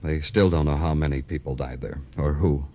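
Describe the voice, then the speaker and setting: A man's voice: a bass voice, steady tone, fairly quick, mellow, dull audio. A man with an American accent playing a dry, tough police detective in a 1950s radio crime drama, clipped and wry. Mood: probing. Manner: clipped, wry, hard-boiled.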